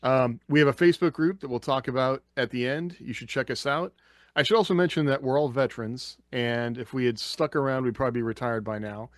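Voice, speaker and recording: A man's voice: mid-range voice, a little fast, no echo. A man hosting a casual panel chat in a playful, joking way. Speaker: podcast host.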